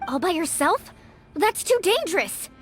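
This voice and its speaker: A woman's voice: very high-pitched, very animated, measured pace, soft background music, full character voice. A woman voicing a small, caring young companion in a video game, earnest and protective. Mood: worried.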